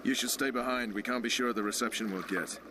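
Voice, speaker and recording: An actor playing a gruff, crude special forces commander in a video game, curt and authoritative. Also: male voice, medium pitch, fast, noisy background, lightly acted. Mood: gruff, businesslike.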